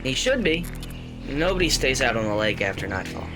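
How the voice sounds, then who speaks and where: A man's voice: medium pitch, big pitch swings, moderate pace, music in the background, close to an everyday voice. An actor as a guarded young backwoods man. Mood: guarded, ominous. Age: young adult. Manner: folksy rural speech, terse. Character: guarded young rural local man with a family secret. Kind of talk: audio drama acting.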